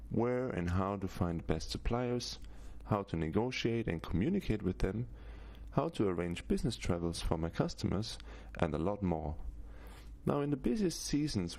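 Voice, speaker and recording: Gravelly Austrian-accented man, lively pitch. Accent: Austrian German. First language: German. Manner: steady, list-like delivery with frequent "Now" openers. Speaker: course instructor. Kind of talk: scripted course introduction.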